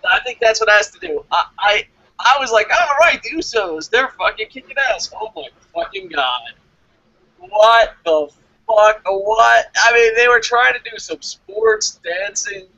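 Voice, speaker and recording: A man's voice: sits high, a little slow, pushed and bright, no room sound, highs cut off. A man co-hosting a wrestling review show in casual, opinionated chat, speaking bluntly and with plenty of swearing. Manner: profane, blunt.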